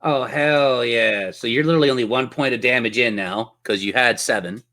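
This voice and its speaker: A man's voice: medium pitch, moderate pace, bright, forceful tone. Playful male game master with theatrical phrasing. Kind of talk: narrating a tabletop role-playing game.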